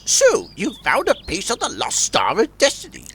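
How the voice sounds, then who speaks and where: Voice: medium pitch, wildly swooping pitch, normal speed, fairly bright tone, slightly rough, exaggerated voice. An actor playing a kindly, eccentric old male tortoise professor in an audio drama. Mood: knowing.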